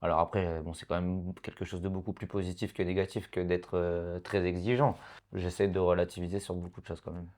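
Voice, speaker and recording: A man's voice: deep voice, little pitch movement, a little fast, darkish tone. A man in his thirties born in Portugal, speaking as an interview guest, talking hesitantly with many fillers and laughs. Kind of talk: casual interview conversation.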